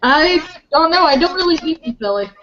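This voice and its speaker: A woman's voice: high-pitched, lively intonation, a little fast, sharp tone, a little hiss or hum. A young woman from Louisiana, streaming her drawing and chatting casually, giggly and easily distracted. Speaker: streamer drawing art live. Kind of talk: casual chat while drawing. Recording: muffled voice chat audio.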